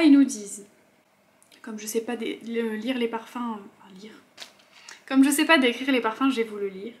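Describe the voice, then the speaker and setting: A young woman chatting casually as she unboxes beauty products at home, with quick asides and murmured afterthoughts. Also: a little high. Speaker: beauty vlogger.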